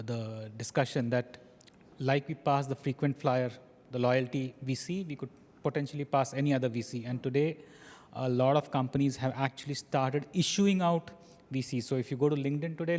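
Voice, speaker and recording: A man's voice: mid-range voice, darkish tone. Explaining male panelist in a hall. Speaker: conference panelist. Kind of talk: panel discussion answer. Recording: reverberant conference hall.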